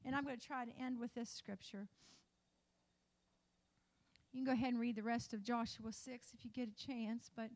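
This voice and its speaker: A woman pastor preaching a sermon in a repetitive, rising cadence that builds toward shouts. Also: on the high side, steady tone, moderate pace, long pauses.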